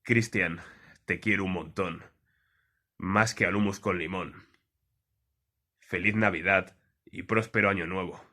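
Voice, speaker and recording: A man giving a mock-solemn scripted holiday greeting in a deliberate, pompous manner. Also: deep voice, steady tone, pushed and bright.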